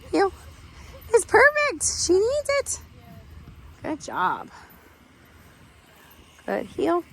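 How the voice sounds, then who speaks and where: A young woman dog trainer, hoarse from losing her voice, explains a lesson in a chatty way and gives firm short commands to a dog, recorded on a phone in an outdoor park. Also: high voice, rough recording. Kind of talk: casual on-the-spot training explanation. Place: outdoor park with other dogs. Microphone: handheld phone camera.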